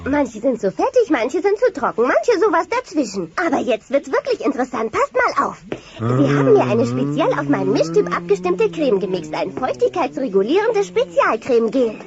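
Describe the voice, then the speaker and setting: High, gushing teenage dinosaur girl. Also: huge pitch swings, moderate pace. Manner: gushing, affected. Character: chatty vain teenage dinosaur daughter, bubbly and self-absorbed. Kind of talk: dubbed family sitcom audio play. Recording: dull cassette with loud music.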